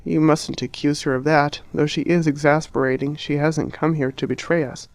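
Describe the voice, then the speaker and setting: A man voicing a polite, reasonable young Englishman who speaks in a measured way. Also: a little high, steady tone, no room sound, natural voice. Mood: calm, measured. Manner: courteous, measured.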